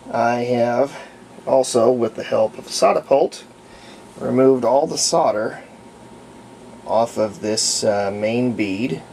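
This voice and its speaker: Male hobbyist restorer, casual explainer. Gravelly fry, unhurried halting delivery, pauses mid-thought. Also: medium pitch, darkish tone, a little hiss or hum. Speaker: hobbyist radio restorer demonstrating a repair. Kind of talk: casual hands-on tutorial talk.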